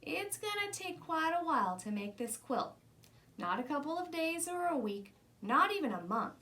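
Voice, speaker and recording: Storybook grandma, played by a woman: elderly, folksy, fond, proud, lively intonation. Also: high voice, moderate pace, voice pushed up, heavily acted. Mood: earnest. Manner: folksy dialect with ain't and gonna. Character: wise, patient old grandmother, fond and proud. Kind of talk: children's storybook read-aloud.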